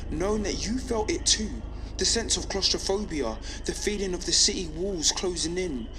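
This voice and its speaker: An actor playing a defiant, lonely young male rebel on pirate radio in an audio drama, speaking intensely and deliberately in short rhythmic phrases. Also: sits high. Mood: lonely, brooding. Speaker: underground radio broadcaster. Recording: reverberant space with background noise.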